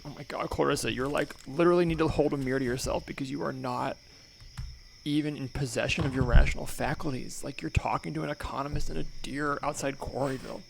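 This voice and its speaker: A man voicing a flighty, exasperated young valley-girl woman in an improvised comedy podcast, with a creaky voice. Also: mid-range voice, fairly even intonation, fast talker, lightly acted. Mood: exasperated, dramatic. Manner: exasperated valley-girl talk, dramatic and self-addressing. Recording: faintly noisy remote podcast recording.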